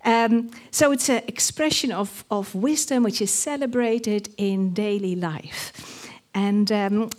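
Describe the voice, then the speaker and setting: A woman's voice: mid-range voice, slow, darkish tone. A middle-aged woman from the Netherlands with a Dutch accent, a pastoral worker giving an informal church talk in a chatty, self-deprecating way with many ums. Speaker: pastoral worker and guest speaker.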